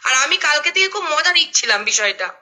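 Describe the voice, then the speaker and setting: Casting: middle-aged woman, emphatic phone talker, very sharp forceful tone, rapid delivery. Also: high-pitched, big pitch swings. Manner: emphatic, repeatedly seeking confirmation. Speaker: social media creator. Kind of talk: phone conversation, explaining at length.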